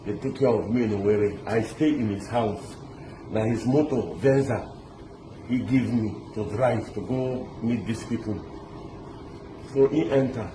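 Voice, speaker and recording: Man telling his tale in pidgin. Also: medium pitch. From Lebanon. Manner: animated storytelling, mixing in pidgin English. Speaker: interviewee. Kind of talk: street interview recounting. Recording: echoey, with loud ambient noise.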